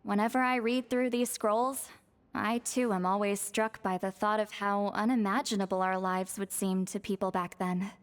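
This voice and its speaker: A woman voicing a composed, scholarly character in a video game, measured, thoughtful and gently firm. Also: mid-range voice, fairly even intonation, close to an everyday voice.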